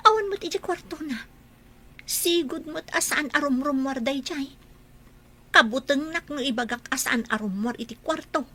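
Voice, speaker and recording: A woman's voice: high-pitched, normal speed, bright, forceful tone. An actress playing a harsh, contemptuous woman who looks after a child and scolds him. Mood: irritated. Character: harsh, scornful adult female caretaker.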